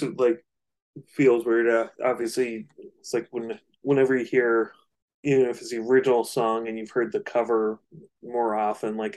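A man co-hosting a casual discussion of music, speaking in hesitant, halting phrases. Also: medium pitch, a little mellow, poor audio. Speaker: music discussion podcast co-host.

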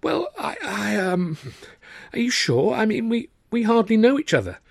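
A man's voice: high-pitched, very animated, normal speed, creaky, no room sound, voice pushed up, full character voice. A man doing a stammering flustered young man. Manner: stammering, nervous. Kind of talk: audiobook character voice.

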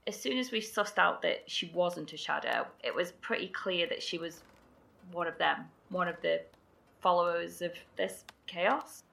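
Woman survivor in horror drama, slow, gravelly, bright tone, hesitant storytelling. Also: on the low side, heavily acted. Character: woman survivor recounting eerie night. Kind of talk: audio drama acting.

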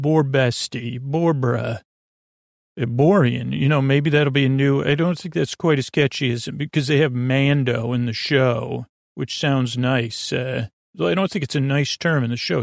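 A man hosting a bedtime podcast, drifting through meandering, digressive talk in a soft, unhurried, self-deprecating way. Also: mid-range voice, gravelly, no room sound, studio quality. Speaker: podcast host.

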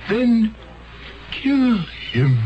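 Slow, commanding alien master. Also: male voice, very high voice, sing-song, full character voice. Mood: hateful, commanding. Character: cold, commanding alien master indoctrinating an agent to kill. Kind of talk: 1970s radio drama acting. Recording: dull 1970s radio broadcast, music behind.

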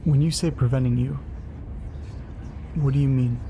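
A man's voice: mid-range voice, fairly even intonation, normal speed, mellow, slight background noise, close to an everyday voice. An actor playing an earnest, reassuring male interviewer in an audio drama. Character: earnest, reassuring male interviewer who has suffered similarly. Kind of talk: audio drama acting, conversational.

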